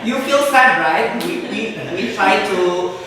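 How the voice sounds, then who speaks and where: Male panelist, higher bright voice, far from the mic in a reverberant hall. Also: expressive, measured pace, crowd noise. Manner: hesitant, fillers, code-switching. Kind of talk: casual discussion. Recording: echoey hall, picked up from afar.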